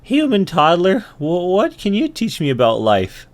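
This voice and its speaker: Man voicing an earnest, slightly high-pitched maturing ant. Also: huge pitch swings, moderate pace, full character voice. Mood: curious. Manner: earnest, wondering, slightly urgent. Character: fast-maturing male ant, earnest, anxious seeker of meaning. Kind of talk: improvised comic storytelling.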